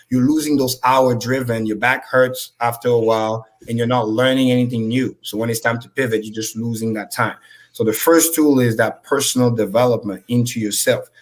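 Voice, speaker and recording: High-energy man, native French speaker. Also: steady tone, fairly quick. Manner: high-energy, profane, preachy. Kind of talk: casual motivational talk.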